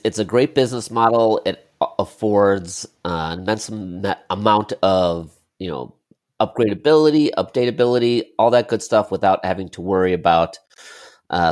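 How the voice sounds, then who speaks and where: Brief: male co-host, low voice, playful and stumbling in casual chat. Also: normal speed, a little mellow. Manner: jokey, stumbling with restarts. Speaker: podcast co-host.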